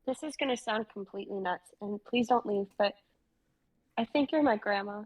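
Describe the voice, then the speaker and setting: A woman's voice: mid-range voice, normal speed, darkish tone, close to an everyday voice, poor audio. A young woman playing a bewildered, awkward twenty-three-year-old in a dramatic reading, speaking hesitantly in modern casual phrasing. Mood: tentative. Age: young adult.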